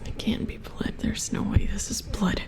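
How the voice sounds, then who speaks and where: A woman playing an anxious, secretive robot repair engineer in soft-spoken ASMR horror roleplay, breathy and often whispered, halting and repeating words. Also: reverberant, close to an everyday voice. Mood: disbelieving, alarmed. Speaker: ASMR performer.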